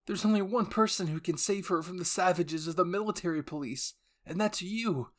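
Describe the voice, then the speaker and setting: A man performs a young male soldier in an anime roleplay. His voice sits higher, strained and urgent, as if bargaining under threat. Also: moderate pace, lightly acted. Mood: taunting, tense. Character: young male soldier, anxious, pleading negotiator.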